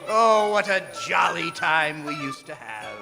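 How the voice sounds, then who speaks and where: A fearful, regretful old miser in a stage radio play. His high voice swings widely as he stammers and exclaims slowly. It rings in a big hall over music. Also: big pitch swings, gravelly, heavily acted. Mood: joyful, nostalgic. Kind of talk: theatrical radio drama acting. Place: performing arts center stage. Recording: long hall reverb, music underneath.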